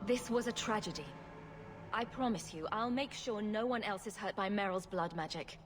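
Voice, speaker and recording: Woman acting a steady, blunt adventurer in echo. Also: mid-range voice, moderate pace, music in the background, natural voice. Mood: firm, blunt. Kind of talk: video game cutscene acting. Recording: long hall reverb, faint noise.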